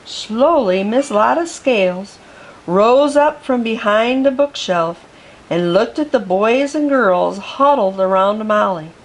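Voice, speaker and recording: A woman reading a children's picture book aloud for storytime, with gentle, expressive narration. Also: on the high side, slow pace, low-quality recording. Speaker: storytime reader.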